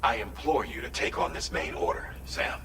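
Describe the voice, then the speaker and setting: A formal mission briefer, low voice. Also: male voice, animated. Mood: urgent. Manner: formal, measured, earnest. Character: formal male mission coordinator giving briefings. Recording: roomy, distant, noisy game audio.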